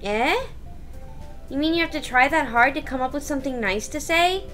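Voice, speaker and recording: Woman voicing a bright, squeaky, bratty schoolgirl. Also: normal speed, faint music behind. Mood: huffy, snappish. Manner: blunt, huffy, snappish. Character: bratty, prickly, combative little high-school girl. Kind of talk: visual novel voice-over read aloud.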